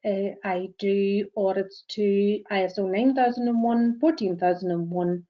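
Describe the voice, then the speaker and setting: A woman with a Northern Irish accent presenting a webinar as a greenhouse gas verifier, speaking in a measured way with frequent ums and uhs. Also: mid-range voice, steady tone, lo-fi sound. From Northern Ireland.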